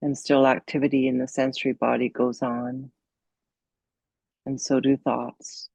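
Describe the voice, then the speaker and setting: Slow, gravelly woman guiding meditation. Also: a bass voice, little pitch movement, dry recording. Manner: soothing, unhurried, gently instructive with long pauses. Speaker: yoga and meditation coach leading a guided meditation. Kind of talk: guided meditation.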